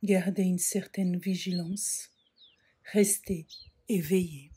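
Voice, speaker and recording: Slow, breathy woman guiding meditation. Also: lowish voice. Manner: calm, measured instructions with frequent pauses. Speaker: yoga nidra meditation guide. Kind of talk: slow guided relaxation meditation.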